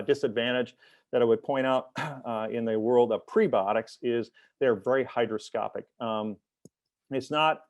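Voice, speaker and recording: Male expert presenter, middle pitch, halting and filler-heavy, dead dry recording. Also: a little mellow, dry recording. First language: English. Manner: halting, many fillers and restarts. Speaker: expert speaker in a webinar. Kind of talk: technical presentation.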